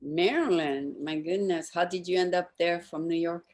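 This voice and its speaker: Lowish-voiced woman hosting a casual interview. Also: moderate pace, a little mellow. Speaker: interviewer, host.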